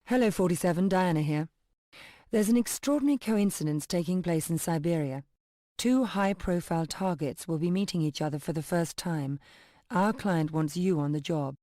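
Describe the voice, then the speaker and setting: Low-voiced, composed female agency handler acted out. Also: mellow, no room sound, studio quality, close to an everyday voice. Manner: formal, measured, businesslike briefing. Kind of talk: video game mission briefing.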